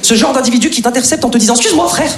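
A male stand-up comedian with a very high-pitched voice, talking quickly and colloquially as he tells casual anecdotes. The live venue sounds echoey. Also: heavy background noise. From Lyon, France. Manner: colloquial, addresses the audience familiarly.

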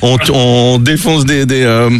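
A man appearing as a guest comedian in a radio studio, telling comic stories casually in an animated, rambling, digressive way. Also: medium pitch, takes it slowly, clear, resonant tone, dry recording.

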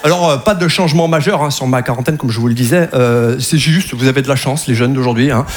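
A man in his forties performing a stand-up routine on stage, teasing the crowd with lively asides. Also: mid-range voice, fast talker. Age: 40s. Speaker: stand-up comedian. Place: comedy venue with live audience. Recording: live stage recording with audible room noise. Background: audience laughter.

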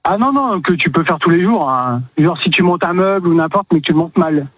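A man's voice: fairly high pitch, lively intonation, brisk, husky, no room sound, dull audio. A man from northern France, a truck driver chatting casually as he phones in from his parked truck. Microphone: phone call.

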